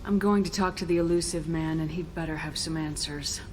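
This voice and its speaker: A woman's voice: sits low, fairly even intonation, a little fast, a little mellow, a little hiss or hum, natural voice. A woman playing a firm, businesslike military commander in a video game, direct and probing.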